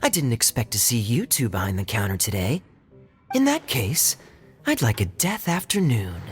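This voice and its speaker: An actor playing a suave, teasing young man with smooth talk in a game cutscene. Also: mid-range voice, wildly swooping pitch, normal speed, soft background music. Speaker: tavern customer.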